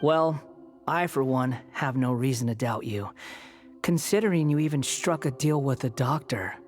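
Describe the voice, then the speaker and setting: Man voicing a young male villain, mid-pitched, cynical, proud, calculating, sardonic, video game dialogue, faint music behind. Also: close to an everyday voice. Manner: sardonic, cool, deliberate.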